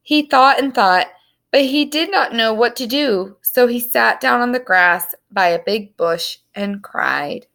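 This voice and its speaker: Lady reading a kids storybook out loud, slow and careful, voice on the high side. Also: expressive, vocal fry. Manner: simple, deliberate phrasing for children. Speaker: children's story reader. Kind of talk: read-aloud storybook narration.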